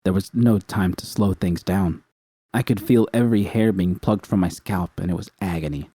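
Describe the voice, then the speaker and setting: A man reading a first-person fan fiction story aloud in a calm, steady way. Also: deep voice, moderate pace, husky, no echo.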